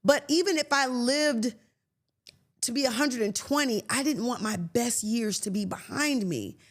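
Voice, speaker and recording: A woman in her late fifties hosting a faith podcast, talking earnestly and conversationally with soft laughs and restarts. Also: on the high side, unhurried. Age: 50s. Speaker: podcast host. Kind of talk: reflective faith podcast monologue.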